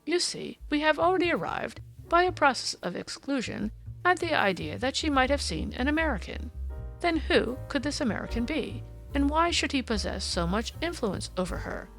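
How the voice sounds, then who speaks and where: A woman voicing a brisk, courteous Victorian male detective in an audiobook, precise, formal and explanatory in manner. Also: very high-pitched, very animated, vocal fry, fairly bright tone, faint music behind, voice pushed up, exaggerated voice, rough recording. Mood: explanatory, assured.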